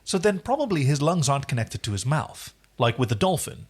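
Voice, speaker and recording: A man's voice: a little high, very animated, fairly quick, slightly rough. A man co-hosting a podcast, casually recapping a TV episode in a jokey, digressive way. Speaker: podcast co-host.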